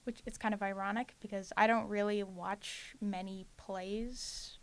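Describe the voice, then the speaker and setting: A woman's voice: mid-range voice, steady tone, measured pace. A young woman, a student guest, chatting informally and a little tentatively in an interview. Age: young adult. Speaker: high school senior, student actor guest. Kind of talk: casual interview chat.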